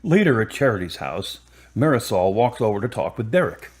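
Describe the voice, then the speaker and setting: A man's voice: on the low side, sing-song, fairly quick, slightly rough. A man reading scripted narration for a radio drama as its narrator.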